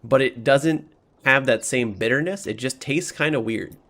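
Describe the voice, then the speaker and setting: A man with a creaky voice, casually narrating a tabletop game as the game master. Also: medium pitch, moderate pace, a bit bright.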